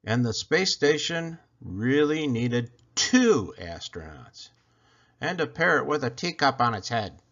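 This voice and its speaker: A man narrating a children's picture book as a storyteller, with an expressive, deliberate delivery. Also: medium pitch. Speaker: read-aloud storyteller. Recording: dull lo-fi recording.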